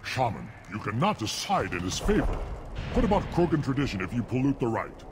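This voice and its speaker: A man's voice: medium pitch, big pitch swings, a little fast, background noise, lightly acted. A man playing a proud, hostile alien warrior in a video game, scornful and combative in a declamatory way. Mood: indignant. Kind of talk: video game cutscene acting.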